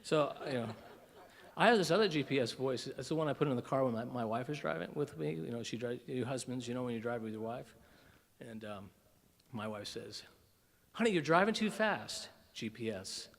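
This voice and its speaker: A man's voice: medium pitch, brisk, gravelly, big-room sound. A man giving a humorous, self-deprecating keynote speech at a breakfast event, telling stories animatedly with frequent restarts. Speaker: keynote speaker. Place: conference breakfast room.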